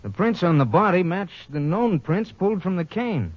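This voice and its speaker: An American man playing a brisk, businesslike police lab technician in a 1950s radio drama. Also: sits high, very animated. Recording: muffled old radio broadcast.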